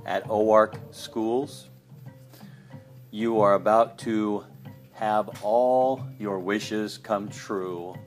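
A man, a drama teacher, giving a scripted podcast introduction in a deliberate, theatrical announcing manner. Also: lowish voice. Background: faint guitar music.

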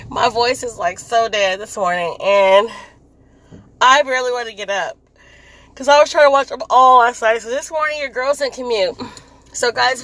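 A woman with a hoarse, raspy voice, chatting casually as she drives to work and often laughing and clearing her throat. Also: a little high, background noise. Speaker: vlogger. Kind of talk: casual chat while driving. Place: in a car on a commute.

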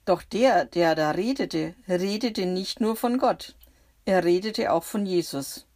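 A woman narrating a bible story for children in the first person, gentle and reflective. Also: on the low side, takes it slowly.

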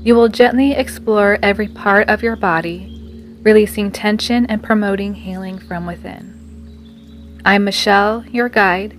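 A woman guiding a scripted meditation in a soothing, measured manner with long pauses. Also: medium pitch. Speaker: meditation guide and podcast host. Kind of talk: guided meditation, scripted narration. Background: music.

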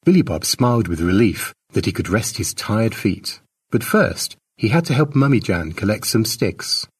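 A man narrates fiction in a low, husky voice. His delivery swings expressively as he reads the story, and he sounds set well back from the microphone. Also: animated, normal speed, far from the mic. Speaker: author narrating own short story.

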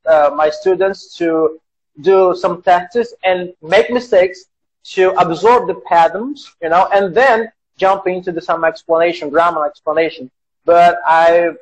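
High-voiced man hosting an interview. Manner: halting, many fillers and restarts. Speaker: podcast host. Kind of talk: casual interview. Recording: dull lo-fi sound.

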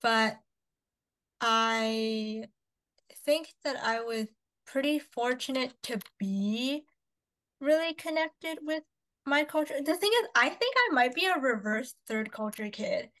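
Chatty young woman, higher voice. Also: slow pace, a bit bright, low-quality recording. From Canada. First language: Cantonese. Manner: chatty, upbeat, lots of fillers. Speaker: podcast co-host. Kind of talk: casual chat.

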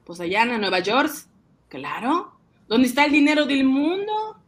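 A man voicing an excitable, boastful ice-cream seller who fancies himself a banker, cajoling and exclaiming in a comic radio drama. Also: very high voice, measured pace, pitched above the performer's own voice, heavily acted. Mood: scheming, excited.

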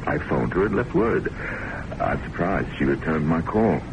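An actor in an old-time radio drama playing a suave, self-important, middle-aged academic boss, wordy and patronizing. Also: male voice, extremely low pitch, expressive, moderate pace, creaky, dull audio, heavily acted. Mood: smug, musing. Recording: noisy old radio broadcast recording.

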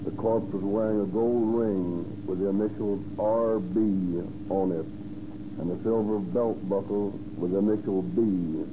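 Man as a low-voiced, terse police dispatcher. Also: steady tone, measured pace, lightly acted. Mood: businesslike. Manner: clipped, official. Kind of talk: police bulletin in 1930s radio drama. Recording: muffled, noisy old radio recording.

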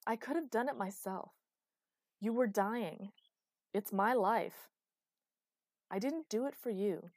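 A woman's voice: medium pitch, animated, slow pace, mellow, no echo, clean studio sound, pitched above the performer's own voice. A woman voicing an anguished, angry warrior man with a remade metal body in a storybook narration. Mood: furious.

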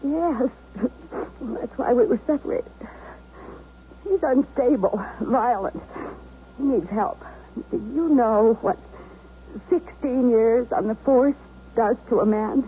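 An actress playing a policeman's battered, desperate wife held hostage, in intense 1970s radio drama style with emphatic pauses. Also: fairly high pitch. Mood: anguished. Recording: dull, faintly noisy 1970s radio recording.

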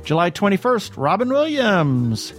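A man hosts a casual podcast with a fairly high voice. His pitch moves around in a lively way as he reads announcements and chats, with music behind him. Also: unhurried. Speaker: podcast co-host.

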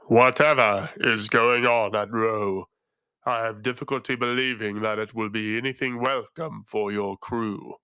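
A resonant, tentacled male illusionist speaks in slow, measured, grave tones, as a male narrator gives him voice. The sound is bright and dry, with no room around it. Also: lowish voice, fairly even intonation, clean tone, dry recording, poor audio.

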